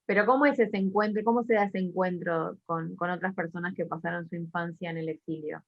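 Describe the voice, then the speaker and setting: A woman's voice: on the low side, steady tone, brisk. A woman interviewing guests, asking curious, probing questions in a conversational way. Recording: dull lo-fi sound.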